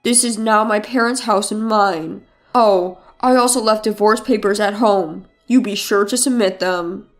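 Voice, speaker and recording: A woman's voice: medium pitch, a little slow, hardly any pauses, faint music behind, pitched below the performer's own voice, lightly acted. A woman voicing a selfish, contemptuous husband in a story, with a curt, dismissive manner. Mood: mocking. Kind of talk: dramatized story narration.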